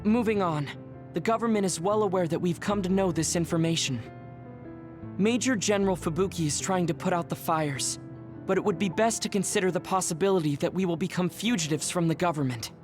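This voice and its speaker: An actor playing a composed, analytical young strategist in a video game, speaking formally and in a measured way. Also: male voice, sits high, over music, close to an everyday voice. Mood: serious, analytical. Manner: measured, formal, matter-of-fact. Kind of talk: anime game dub acting.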